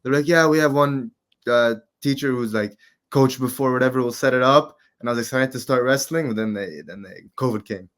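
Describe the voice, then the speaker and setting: A man in his twenties from Qatar, a guest in a casual interview, talking in a relaxed, rambling way with lots of fillers. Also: mid-range voice, a little fast. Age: 20s. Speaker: guest, amateur MMA fighter.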